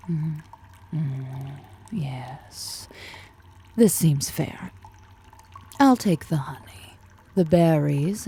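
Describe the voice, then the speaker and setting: A woman playing a powerful, flirtatious female drider in an ASMR fantasy roleplay, speaking in a breathy, commanding, teasing murmur. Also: dark tone, slight background noise, heavily acted. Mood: haughty, amused. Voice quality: low, breathy, husky murmur. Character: powerful, imperious, flirtatious female drider, half-spider monster.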